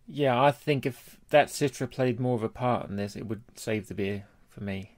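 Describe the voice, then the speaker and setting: A man's voice: medium pitch, moderate pace, darkish tone. A man co-hosting a podcast, chatting casually as he gives his opinion in a review. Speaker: podcast co-host. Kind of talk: casual chat, beer review.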